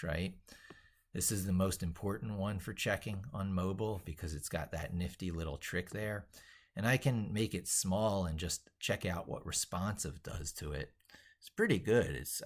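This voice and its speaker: A man giving an unscripted live-stream tech tutorial, rambling as he narrates what he does and leaning hard on his points. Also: deep voice. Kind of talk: unscripted live-stream tutorial, thinking aloud while working.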